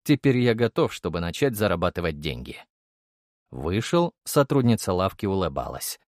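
A man's voice: low voice, big pitch swings, normal speed, a little mellow, no echo, studio quality. A man reading first-person fiction as a scripted audiobook narration.

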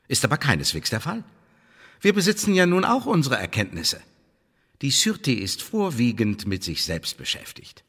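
A male actor playing an imperious secret service interrogator in a German radio play, pompous and sardonic, with a husky voice. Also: on the low side, a bit bright, exaggerated voice. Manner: pompous officialese mixed with sardonic colloquial jabs. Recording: reverberant hall-like radio drama room.

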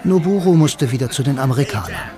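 An actor playing a blind, film-loving loner, narrating a radio play in a dry, wry, laconic manner. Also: male voice, mid-range voice, very animated, fast, close to an everyday voice. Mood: reflective. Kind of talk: first-person radio drama narration and dialogue. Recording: loud music behind the voice.

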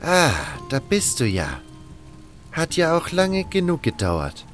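An actor playing a cold, condescending baron who gives orders in a fantasy audio drama, with music underneath. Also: male voice, on the high side, huge pitch swings, slow, heavily acted. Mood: irritated. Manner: condescending, scornful. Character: cold, haughty male baron giving orders.

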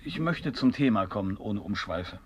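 A man's voice: fairly low pitch, animated, normal speed, darkish tone, slight background noise, lightly acted. An actor in a German radio drama playing a self-absorbed, theatrical would-be writer composing his memoirs. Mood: earnest.

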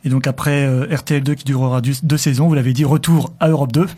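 A man hosting a podcast, asking polite, measured interview questions. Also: medium pitch, flat delivery, warm tone.

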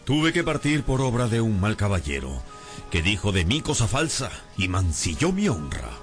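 An actor in a radio drama playing a proud, impoverished gentleman obsessed with honour, speaking in a grandiose, courtly manner. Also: fairly low pitch, huge pitch swings, moderate pace, faint music behind. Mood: wistful, proud. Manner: grandiose, courtly, old-fashioned phrasing. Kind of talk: scripted radio drama acting.

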